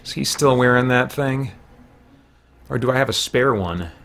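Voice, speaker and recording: A man in his forties giving casual livestream game commentary, halting as he thinks aloud. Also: medium pitch, gravelly, fairly bright tone. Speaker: video game streamer and podcast host. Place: small room at home, indoors.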